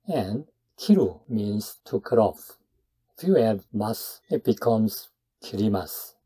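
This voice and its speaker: Male language instructor, slow and low-pitched, scripted step-by-step delivery. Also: animated, dark tone, slightly rough. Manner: explains step by step in short phrases. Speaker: Japanese language teacher. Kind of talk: scripted grammar lesson.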